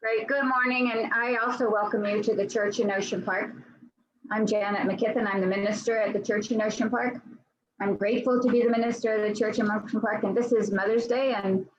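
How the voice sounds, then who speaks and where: A woman minister addressing her congregation over a video call in a measured way, with hesitant pauses. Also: mid-range voice, steady tone. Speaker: church minister. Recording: dull lo-fi video call, background noise.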